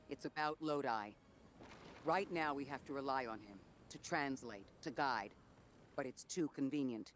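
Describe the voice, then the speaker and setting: Male actor for a suspicious strategist, calm mid-range voice, light hiss. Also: mid-range voice, natural voice. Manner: measured, analytical, suspicious. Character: suspicious measured male ally, analytical. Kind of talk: video game voice acting.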